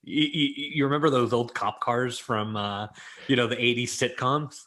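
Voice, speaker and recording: A middle-aged man who grew up in Los Angeles tells stories as an interview guest. His talk is loose and animated and full of "you know". The voice sits at an ordinary middle pitch. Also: moderate pace. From born in Israel, raised in Los Angeles. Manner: chatty, many "you know" fillers, animated. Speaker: guest, creativity expert. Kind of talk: casual storytelling interview.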